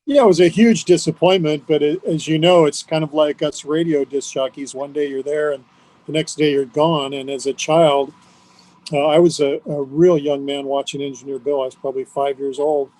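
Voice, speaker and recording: A man's voice: fairly high pitch, fairly even intonation, moderate pace, dark tone, poor audio. An older man who works as a radio disc jockey, reminiscing conversationally as an interview guest.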